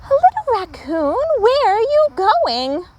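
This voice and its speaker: A woman voicing a caring but firm mother raccoon in a storybook, gently cautioning. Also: very high voice, wildly swooping pitch, slow, muffled recording, voice pushed up, heavily acted. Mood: calling out.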